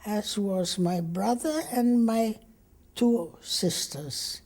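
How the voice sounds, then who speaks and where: An older woman from Egypt, raised speaking Hebrew, tells her family history at an unhurried pace. Her voice is dark and mellow, and her reflective storytelling is full of small hesitations. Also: medium pitch. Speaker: interviewee. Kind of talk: oral history interview.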